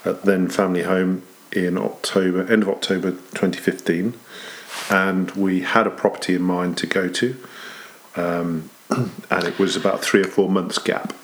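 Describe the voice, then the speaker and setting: Very deep-voiced, easygoing Englishman. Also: little pitch movement. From England. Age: middle-aged. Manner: easygoing, laughs often, unhurried. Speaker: interviewee. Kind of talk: casual conversational interview.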